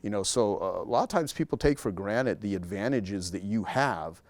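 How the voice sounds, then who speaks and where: A man's voice: on the low side, lively intonation, moderate pace, no room sound. A man speaking as a guest in a casual interview, talking emphatically and repeating key words to drive a point home.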